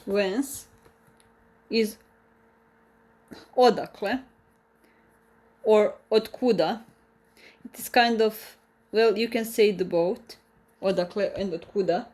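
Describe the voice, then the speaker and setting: A woman with a Croatian accent, a native Croatian speaker, teaching a live online language lesson, explaining slowly and carefully with many restarts and repeated examples. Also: mid-range voice, a little mellow.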